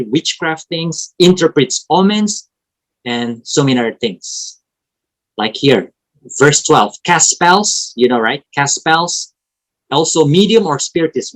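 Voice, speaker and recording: A man with an Indonesian accent, a native Indonesian speaker, teaching a Bible lesson to an online fellowship in a halting way, with restarts and frequent "right?". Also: on the high side, poor audio. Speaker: preacher.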